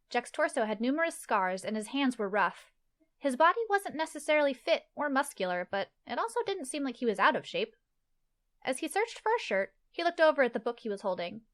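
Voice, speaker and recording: Woman narrator for a fantasy short story, slightly high register, reading scripted prose. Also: fairly bright tone.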